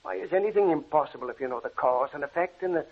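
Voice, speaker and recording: A man playing an obsessed, stubborn research scientist in a measured, stagey 1940s radio drama style. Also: a little high, slightly rough. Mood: obsessed, defiant. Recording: tinny, muffled 1940s radio broadcast.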